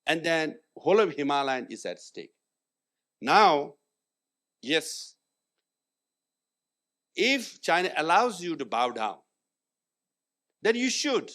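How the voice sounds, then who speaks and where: A man's voice: a little high, big pitch swings, slow pace, pauses often, a bit bright. A man speaking on a panel, arguing emphatically and dropping Hindi phrases into his English. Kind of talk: panel discussion. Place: conference hall.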